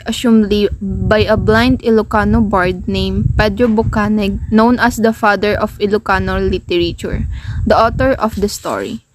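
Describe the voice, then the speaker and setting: A woman narrator reads scripted informational text. Her pitch is middling, and there is faint noise in the recording. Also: low-quality recording.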